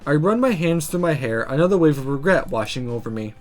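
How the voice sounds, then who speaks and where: Expressive man narrating, high animated voice. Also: brisk, soft background music. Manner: expressive, story-telling. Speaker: visual novel player and narrator. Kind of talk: dramatic read-aloud narration of game text.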